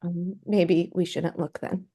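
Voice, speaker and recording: A woman's voice: fairly low pitch, little pitch movement, takes it slowly, mellow. A woman playing a grieving but resilient mother, earnest and tender, in a dramatic table read. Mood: sorrowful. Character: grieving mother, tender and resilient toward her estranged husband.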